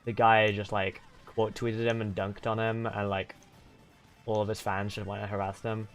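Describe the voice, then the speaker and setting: Low-voiced young man rambling to chat. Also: level tone, normal speed. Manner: rambling, full of "like" and restarts; venting, exasperated. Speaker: streamer. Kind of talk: casual livestream chat with viewers. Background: faint game music.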